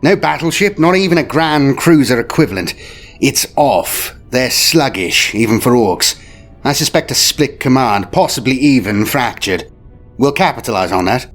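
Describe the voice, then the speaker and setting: A man playing a commanding, animated space admiral. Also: mid-range voice, voice pushed up, lightly acted. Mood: shrewd, resolute. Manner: declamatory, clipped military phrases with weighty pauses. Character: commanding, shrewd veteran space fleet admiral prince. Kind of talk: dramatic audio story character. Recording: music behind the voice. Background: music.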